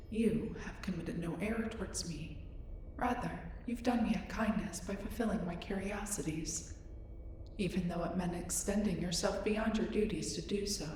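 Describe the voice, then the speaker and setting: A woman playing a curious, reserved female alien scientist in a scripted roleplay audio drama, speaking in formal, measured, precise phrases. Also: fairly low pitch, close to an everyday voice. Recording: roomy echo, distant, clear background noise.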